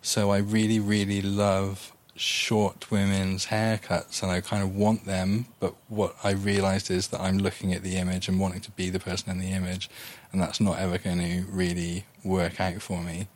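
Low, flat-voiced young man. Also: normal speed, hardly any pauses. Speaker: interviewee. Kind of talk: reflective personal account.

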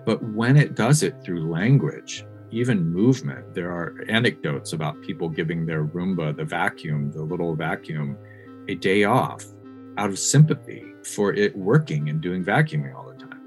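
Deep voiced guy being interviewed, thoughtful academic type, asks rhetorical questions, bit of music behind. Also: lively intonation, normal speed. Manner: reflective, posing rhetorical questions. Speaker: interviewed researcher on ethics of technology.

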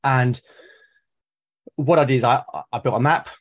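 British man thinking aloud, halting delivery. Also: mid-range voice. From Britain. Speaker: podcast guest, solo roleplaying gamer. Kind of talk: casual interview conversation.

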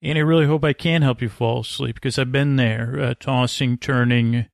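A man with a creaky voice hosting a sleep podcast, rambling gently and lullingly, often breaking off and drifting into tangents. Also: mid-range voice, fairly even intonation, no echo, studio quality. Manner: meandering, digressive, halting with self-interruptions. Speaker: podcast host. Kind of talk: rambling, soothing bedtime monologue.

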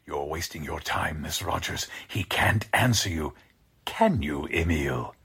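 An actor playing a deranged, coldly polite mad scientist in 1940s radio drama style, speaking in a deliberate, menacingly formal manner with a husky, creaky voice. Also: male voice, extremely low pitch, a bit bright, exaggerated voice. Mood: menacing, gloating.

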